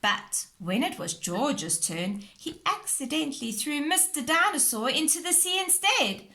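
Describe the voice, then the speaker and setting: Animated, slow-reading woman storyteller. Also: high-pitched. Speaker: storybook reader. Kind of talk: scripted children's story narration.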